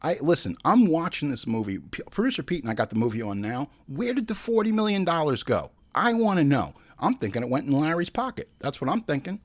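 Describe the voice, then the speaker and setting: A man's voice: on the high side, sing-song, fairly quick, hardly any pauses. A man hosting a podcast, giving opinionated, casual film commentary in an exclamatory, profane manner. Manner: profane, exclamatory, acts out imagined dialogue. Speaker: podcast host.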